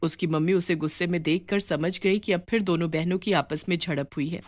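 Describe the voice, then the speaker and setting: A woman's voice: fairly low pitch, brisk, dry recording. A woman narrating a scripted moral story as a storyteller.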